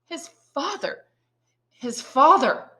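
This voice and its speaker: An earnest young man in prison, from a classic adventure novel , voiced by a woman narrator. He sounds candid and naive, lifted in pitch, and puts emphatic feeling into his words. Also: very animated, slow, pushed and bright, exaggerated voice. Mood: shocked, astonished. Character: Dantès, earnest, naive young male prisoner, easily astonished.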